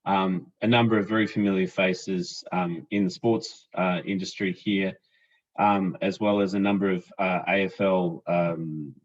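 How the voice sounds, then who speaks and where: A man moderates an online panel, hesitant and full of ums as he sets things up. His voice is very deep. The sound is dull and a little lo-fi, as on a video call. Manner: hesitant, many ums and restarts.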